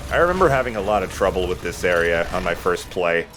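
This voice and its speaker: Low-voiced, animated man commentating a game. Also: quick pace. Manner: casual swearing. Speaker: let's play host playing the game. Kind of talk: casual gameplay commentary. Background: game music.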